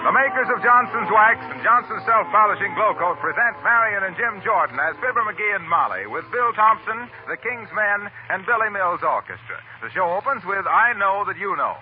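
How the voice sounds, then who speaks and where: A man's voice: sits high, lively intonation, moderate pace, few pauses, background noise. A man announcing a scripted 1940s radio program in a polished, declamatory manner. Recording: thin, tinny 1940 radio recording.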